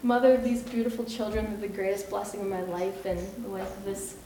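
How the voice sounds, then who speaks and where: A woman's voice: mid-range voice, fairly even intonation, fast, dark tone, slight background noise. An American woman in her thirties giving a chatty personal testimony at church, full of fillers and quoted dialogue. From United States. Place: church hall. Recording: echoey room, mic at a distance.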